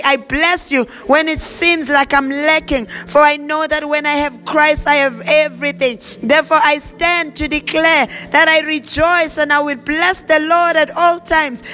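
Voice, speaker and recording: Woman leading prayer, high bright voice, even and steady, repetitive fervent declarations. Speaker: prayer leader. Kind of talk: spoken prayer with speaking in tongues.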